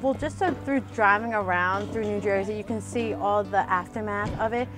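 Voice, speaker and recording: A woman's voice: mid-range voice, moderate pace, loud music behind the voice. A young woman from Queens, New York, speaking casually in an interview.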